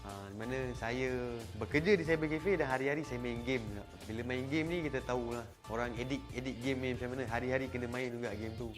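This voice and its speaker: A man's voice: medium pitch, fast talker, hardly any pauses, over music. A man with a Malaysian accent, casually introducing himself and his esports crew work, dropping English words into his talk. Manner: chatty, mixing in English words. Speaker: esports event crew member. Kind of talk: casual self-introduction to camera.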